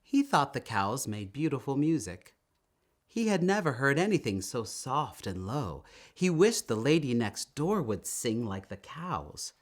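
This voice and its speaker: A man reading a children's story aloud in an expressive, emphatic storytelling manner, drawing out words. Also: mid-range voice, measured pace. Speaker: storytime reader.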